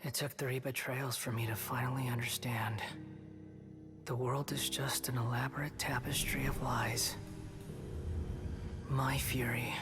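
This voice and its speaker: An actor voicing a brooding, betrayed figure in a video game, delivering a bitter monologue in a breathy whisper. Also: male voice, mid-range voice, little pitch movement, a little slow, pauses often, over music, lightly acted. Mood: bitter fury. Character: brooding, vengeful betrayed being full of cold fury.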